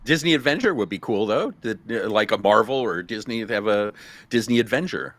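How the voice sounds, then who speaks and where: A man's voice: lowish voice, expressive, fairly quick, fairly bright tone, no echo. A man hosting a podcast panel, easygoing and moving the talk along. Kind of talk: casual panel chat.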